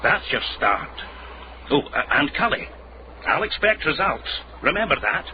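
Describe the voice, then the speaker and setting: An older lord provost who is imperious and quick to threaten. His medium-pitched voice swings widely as he gives curt, pompous orders. It is muffled radio drama with noise behind. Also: very animated. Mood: commanding.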